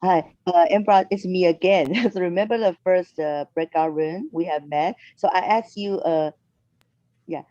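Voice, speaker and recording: A woman's voice: fairly low pitch, a little slow, dark tone, no echo, dull audio. A woman educator asking a workshop speaker questions over a video call, hesitant with many fillers.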